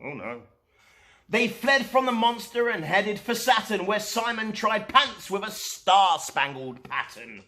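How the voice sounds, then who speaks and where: A man narrating a rhyming children's story as a storytime host, in an expressive, dramatic style. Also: high-pitched, a little slow.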